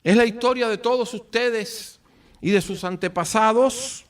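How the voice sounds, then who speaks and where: A Cuban man with a Cuban accent giving a formal commemorative speech, solemn and rhetorical, with deliberate phrasing and dramatic pauses. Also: very high-pitched. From Cuba. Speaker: speaker at a book presentation. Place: event at an office venue. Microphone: far from the speaker. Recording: distant microphone.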